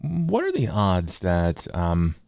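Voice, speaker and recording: A man with a very deep, gravelly voice in a dry, close recording. He is a tabletop player reasoning aloud in careful, halting phrases. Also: huge pitch swings, a little mellow, no room sound. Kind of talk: casual game discussion.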